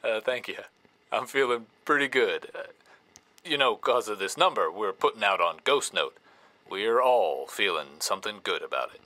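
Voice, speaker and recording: An actor playing an easygoing, modest vampire country singer, speaking in a folksy, halting way on a 1950s radio interview with static. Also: male voice, on the low side, lively intonation, pushed and bright, no room sound. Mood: bashful, pleased. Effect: old radio broadcast with static.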